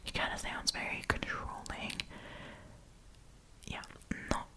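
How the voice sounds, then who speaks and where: Casting: female ASMR storytime host, full whisper throughout, playful and casual delivery with slangy asides. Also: takes it slowly. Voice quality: breathy whisper.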